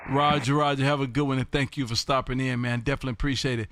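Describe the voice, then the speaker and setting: A man hosting a live stream from his home radio room, folksy, loud and repetitive as he calls out call signs on air. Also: mid-range voice, fairly even intonation, fast, slight background noise. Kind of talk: casual on-air radio chat.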